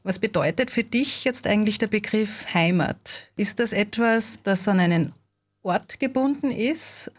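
A woman interviewing a guest, asking follow-up questions and gently rephrasing what he says. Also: medium pitch, a little slow.

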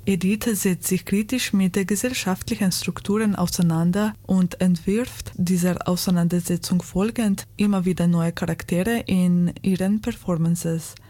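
A woman radio host reading a scripted tribute in a calm, measured way. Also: lowish voice.

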